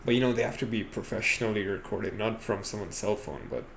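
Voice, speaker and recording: Man, lowish voice, laid-back podcast co-host, hesitant conversational delivery, noisy recording. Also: fast talker, gravelly. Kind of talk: casual chat.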